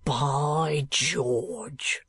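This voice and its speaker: A woman reading an audiobook, voicing a sulky working-class male keeper in a gruff, blunt drawl. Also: low voice, animated, drawn-out words, fairly bright tone, no echo, exaggerated voice.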